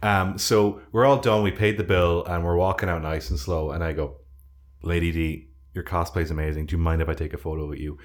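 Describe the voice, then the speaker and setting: A man's voice: deep voice, fairly even intonation, fairly quick. A man with a Dublin Irish accent, co-hosting a podcast and telling rambling, self-mocking stories with plenty of swearing. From Ireland. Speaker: podcast co-host. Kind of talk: casual storytelling chat.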